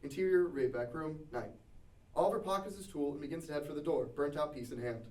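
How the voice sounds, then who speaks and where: A man's voice: a little high, quick pace, a little mellow. A man reading scripted stage directions as narrator at a table read. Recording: echoey room, distant mic.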